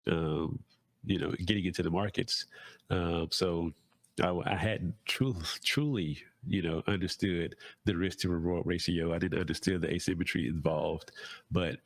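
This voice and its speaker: Host, male, stuffed-up nasal voice, very low and gravelly, dry close sound, loose rambling delivery. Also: no room sound. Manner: rambling, many fillers and restarts. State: congested, nasal from a cold. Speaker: podcast host. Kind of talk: casual solo talk.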